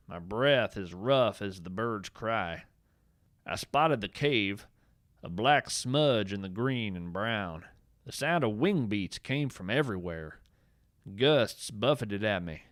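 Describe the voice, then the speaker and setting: A man narrating scripted fiction as a podcast host, with a measured, deliberate and expressive storytelling delivery. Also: lowish voice, no room sound, studio quality.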